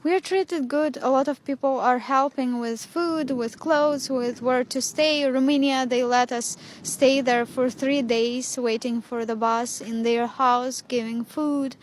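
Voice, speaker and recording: Young woman in halting English. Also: high voice, fairly even intonation, a little hiss or hum. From Ukraine. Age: 20s. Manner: hesitant, simple English. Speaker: refugee interviewee.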